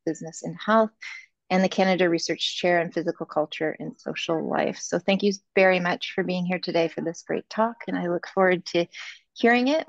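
A woman host and professor giving a measured formal welcome, reading from notes on a video call. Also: on the low side, dry recording. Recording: dull dry video call audio.

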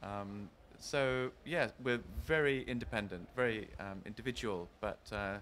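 Soft-spoken hesitant Scottish-born man. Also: fairly low pitch, unhurried, a little hiss or hum. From Scotland. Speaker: designer, interview guest. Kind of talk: casual interview answers. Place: large indoor showroom. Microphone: handheld mic held by interviewer.

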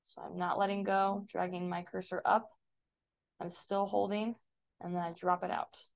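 An American woman teaching a step-by-step software tutorial in an informal, instructive way. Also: lowish voice, little pitch movement, normal speed, gravelly, dull audio. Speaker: digital design teacher. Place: voice-over for a screen recording.

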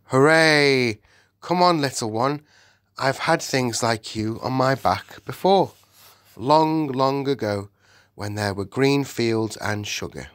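A man's voice: mid-range voice, lively intonation, a little slow, fairly bright tone, dry recording, pitched above the performer's own voice. A humble, good-natured old cab horse, as a male storyteller puts him on, modest and a little flustered, with an eager lift in his voice. The sound is dry and close.

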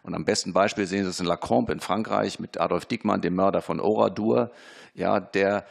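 A man answering questions as an expert before a parliamentary committee, measured and formal, often pausing with fillers and restarting sentences. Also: sits low, fairly even intonation, vocal fry. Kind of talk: formal spoken testimony.

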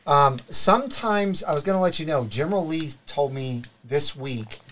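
A man's voice: fairly high pitch, animated, measured pace, a bit bright, slight background noise. A middle-aged man hosting a live car podcast, greeting viewers and reading sponsor plugs in an upbeat way. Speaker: show host. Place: studio set with desk mics. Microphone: desk mic on boom arm.

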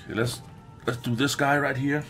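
A man's voice: mid-range voice, steady tone, moderate pace, fairly bright tone, soft background music. A man giving casual let's play commentary as host, informal and sweary, thinking aloud. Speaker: gaming video host.

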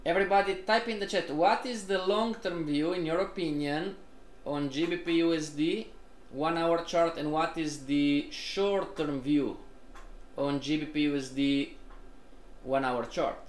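A man's voice: a little high, a little slow, roomy sound, a little hiss or hum. A man teaching a live online trading class, repeatedly questioning and prompting his students as a group. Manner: repetitive, questioning, addresses the group often.

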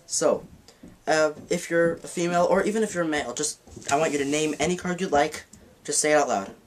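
A man's voice: a little high, a little fast, slight background noise. A man teaching a card trick in a casual tutorial, rambling and correcting himself as he handles the cards at a table in a dim room. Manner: rambling, self-correcting, demonstrating while talking. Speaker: magic teacher.

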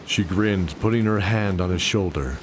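A man's voice: low-pitched, normal speed, noisy background. A man reading scripted dramatic narration as the narrator of a fantasy story.

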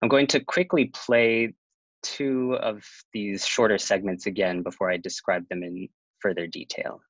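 A man presenting a prepared academic talk over a video call, in a measured reading manner. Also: fairly low pitch, fairly even intonation, no echo. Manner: measured, reads from prepared notes. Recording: dull, dry lo-fi sound.

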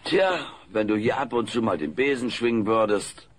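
A man's voice: on the low side, lively intonation, moderate pace, lightly acted. A man playing a brash, sarcastic, wisecracking furry alien houseguest in a comedy audio play. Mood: cheeky. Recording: noisy old cassette recording.

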